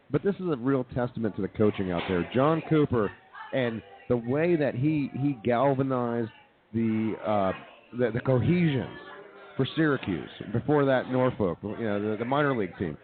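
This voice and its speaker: A man's voice: medium pitch, expressive, moderate pace, music in the background. Man hosting sports talk, thin phone-like sound. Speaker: radio show host. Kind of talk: live sports talk and commentary. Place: brewery venue, live broadcast.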